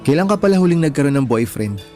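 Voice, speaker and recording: An actor playing a humble, easygoing young janitor on a date in a Filipino radio romance drama. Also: male voice, a little high, big pitch swings, fast, mellow. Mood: curious, sympathetic. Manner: modest, easygoing. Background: background music.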